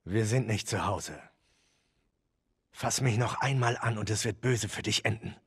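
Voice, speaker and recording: An actor playing a wary, resentful younger brother, a rugged adult survivor in a serious video game drama, blunt and defensive. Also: male voice, fairly low pitch, unhurried, a bit bright, breathy edge, no echo, studio quality, full character voice. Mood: defensive, bitter.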